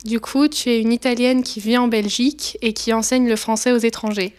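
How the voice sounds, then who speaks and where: Clear-voiced woman interviewer. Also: on the high side, fairly even intonation, fairly quick, darkish tone. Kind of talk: interview questions.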